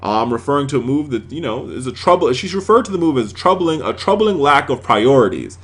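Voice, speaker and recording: Male American political host, mid-pitched and very lively in intonation, opinionated and sarcastic. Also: a little fast. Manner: sarcastic, emphatic, rhetorical. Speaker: political talk show host. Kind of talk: opinionated news commentary to audience.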